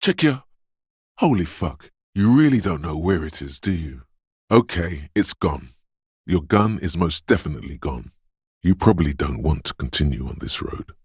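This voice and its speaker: A man's voice: very animated, moderate pace, darkish tone, no room sound, clean studio sound, exaggerated voice. A man voicing a brooding, eerie inner voice of intuition in a video game, speaking intimately and poetically in a mournful, ominous, dreamlike way. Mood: urgent, wry. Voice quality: very deep, husky, gravelly whispery rumble. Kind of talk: video game voice acting, literary second-person narration.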